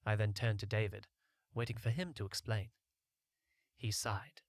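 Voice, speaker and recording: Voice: medium pitch, moderate pace, no room sound, clean studio sound. A narrator reading a scripted first-person story as an audiobook.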